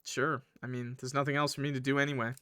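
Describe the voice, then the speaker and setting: A man's voice: medium pitch, little pitch movement, quick pace, fairly bright tone, smooth, dry recording, lightly acted. A man voicing an awkward, withdrawn, weary young passenger in a visual novel, hesitant and casual. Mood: tired, flat.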